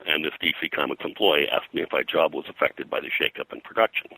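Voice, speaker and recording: An older American man reminiscing as an interview guest, in a halting, rambling way. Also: a bass voice, gravelly, sharp tone, dry recording, rough recording. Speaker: guest, comics colorist and publisher.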